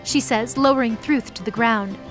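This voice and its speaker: A woman's voice: fairly high pitch, normal speed, faint music behind. A woman reading scripted narration for an audio drama story.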